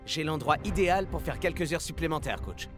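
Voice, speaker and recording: An actor playing an eager, good-natured young star footballer in a French cartoon dub, casual and enthusiastic. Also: male voice, on the high side, expressive, fairly quick, close to an everyday voice. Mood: keen. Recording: music behind the dialogue.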